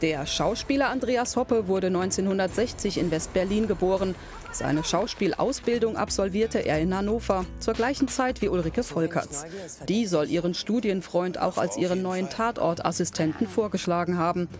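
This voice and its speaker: A man's voice: high-pitched, moderate pace, music in the background. A man reading scripted biographical narration as a television reporter.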